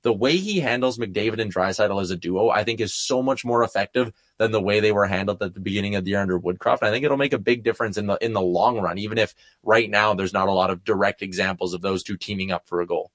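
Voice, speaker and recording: A man's voice: fairly low pitch, fast talker, few pauses, a bit bright, no room sound, studio quality. A man giving wordy, enthusiastic, opinionated hockey analysis in a casual sports show chat.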